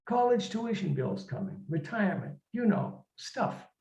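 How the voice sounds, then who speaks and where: A man's voice: high voice, sing-song, unhurried, creaky, darkish tone, voice pushed up, full character voice. A man voicing a depressed, defensive married man of forty-two in a weary, complaining, colloquial way, for a short story reading. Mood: exasperated. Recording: roomy, slightly dull room recording.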